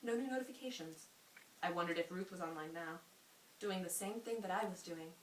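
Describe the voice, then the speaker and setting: A woman's voice: fairly low pitch, brisk. A woman reading a story aloud informally, as an amateur, prone to giggly asides. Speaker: amateur reader. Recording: roomy echo, faint hiss.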